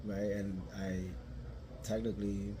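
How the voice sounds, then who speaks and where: Man, lowish voice, from new york, reflective dancer interview, clear event noise behind. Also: little pitch movement, a little slow. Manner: reflective, peppers speech with "like" and "right?". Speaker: guest dancer. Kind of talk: casual interview talk. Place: dance social venue. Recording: background noise at a live event.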